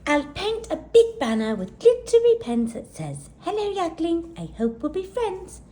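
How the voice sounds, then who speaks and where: An eager, anxious little yak girl from a storybook, played by a woman reader. The voice sits high and swoops expressively, earnest in every phrase. It has a soft edge rather than a bright one. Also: very animated, a little slow, exaggerated voice.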